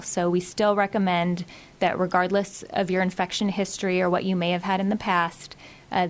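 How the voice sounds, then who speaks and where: A woman physician presents health information to a community group. She speaks at an ordinary, mid-range pitch. Her delivery is explanatory and steady, dotted with ums and uhs.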